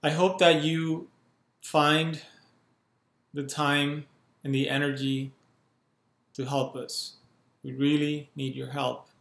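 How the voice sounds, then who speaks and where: A man in his thirties from Cuba, speaking to a webcam at home and making an urgent, earnest appeal in measured phrases. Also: fairly high pitch, flat delivery, creaky, fairly bright tone. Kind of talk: earnest direct-to-camera appeal. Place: small room at home, indoors. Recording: roomy echo from a bare room.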